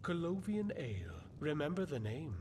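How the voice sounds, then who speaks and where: A mock-courteous, prophetic game riddler. His voice sits fairly high and moves in lively, theatrical swings. There is clear rumbling noise behind him. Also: normal speed. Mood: cryptic, solemn. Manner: portentous, theatrical. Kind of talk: video game voice acting.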